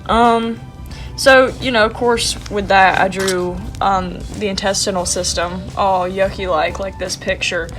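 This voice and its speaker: A woman's voice: medium pitch, fairly even intonation, unhurried, music in the background. A young woman in her twenties, sounding congested and sniffly, chatting casually and playfully with lots of slang while showing her sketchbook. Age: young adult. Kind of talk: casual vlog chat.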